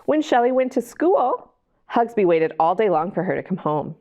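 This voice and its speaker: A woman's voice: mid-range voice, normal speed. Woman reading animatedly to young children. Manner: animated, addresses young listeners with questions. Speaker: library storytime host and reader. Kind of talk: children's picture-book read-aloud with chatty asides.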